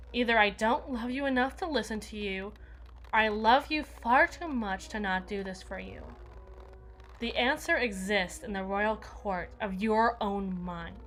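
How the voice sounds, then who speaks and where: A woman playing a jealous, menacing evil queen in an ASMR roleplay, regal and possessive, with theatrical, deliberate formal diction. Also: fairly high pitch, fairly bright tone, natural voice.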